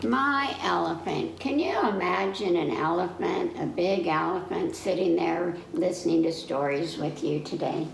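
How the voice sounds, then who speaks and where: Female children's story-time reader, slow and creaky-voiced, talks simply and directly to young listeners, recorded in a roomy space. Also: fairly low pitch. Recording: roomy echo, faint background noise.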